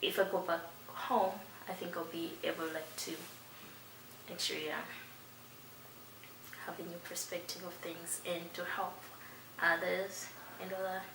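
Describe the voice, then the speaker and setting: Female student, casual "like"s, low and flat, echoey distant recording with hiss. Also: measured pace, pauses often. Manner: casual, "like" fillers. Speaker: international student interviewee. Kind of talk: interview answers. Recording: echoey, distant mic with noise.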